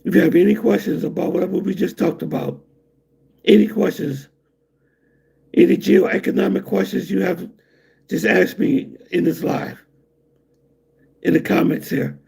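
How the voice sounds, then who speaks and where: A man's voice: high-pitched, steady tone, moderate pace, vocal fry, long pauses, darkish tone, breathy edge. A middle-aged man from the United States hosting a casual livestream and rambling loosely to his viewers. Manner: loose, rambling, laughs at his own jokes. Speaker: livestream host.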